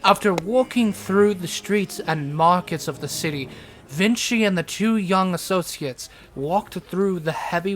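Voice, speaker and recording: Slow, higher-pitched male fantasy narrator. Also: a bit bright, a little hiss or hum. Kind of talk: scripted fantasy story narration. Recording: music behind the voice. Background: music.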